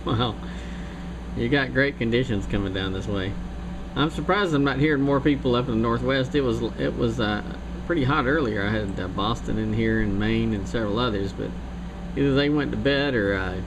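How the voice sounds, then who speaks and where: A man from South Carolina chatting over CB radio, relaxed and thinking aloud as he talks. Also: medium pitch, normal speed. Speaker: CB radio operator. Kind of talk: CB radio chat. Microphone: CB radio mic. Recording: radio audio with clear static.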